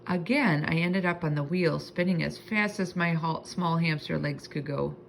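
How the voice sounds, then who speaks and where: A woman reading a children's book aloud in an animated, expressive storytelling manner. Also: low voice, moderate pace, gravelly, a little hiss or hum.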